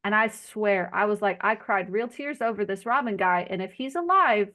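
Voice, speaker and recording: A woman hosts a casual group video chat. Her accent mixes Arkansas Southern with New Jersey, and she tells stories in a folksy, conversational way at a middle pitch. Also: expressive, normal speed, sharp tone. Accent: blend of Arkansas Southern and New Jersey. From Arkansas, United States. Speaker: host of an online group chat. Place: video call.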